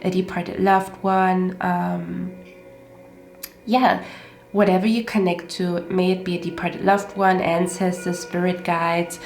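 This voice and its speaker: A woman's voice: lowish voice, level tone, takes it slowly. A woman with a stuffy, congested nose giving a casual tarot card reading, repeating herself and often checking in with "okay?". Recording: roomy echo with faint music.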